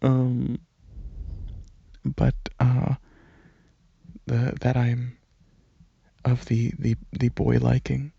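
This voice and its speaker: A young man speaks very breathily and softly in a casual ASMR ramble, hesitating and drifting into whispers. His delivery is slow and fairly flat in pitch. He is close to the microphone, with a slightly dull recording. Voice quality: breathy, soft. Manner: hesitant, halting, slipping into whispers. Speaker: ASMR video creator and channel host. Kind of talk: soft-spoken ASMR ramble, casual personal chat.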